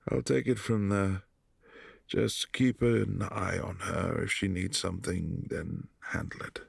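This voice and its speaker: A man voicing a brooding, possessive adult vampire prince in a boyfriend ASMR audio drama, speaking softly and often in a hushed whisper. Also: deep voice, flat delivery, unhurried, creaky, slightly rough, dry recording, clean studio sound, exaggerated voice.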